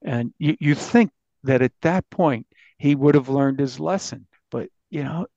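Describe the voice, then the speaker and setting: A man speaking as an investigative journalist in a podcast interview, recounting findings with halting false starts. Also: on the high side, vocal fry, dark tone, no room sound, dull audio.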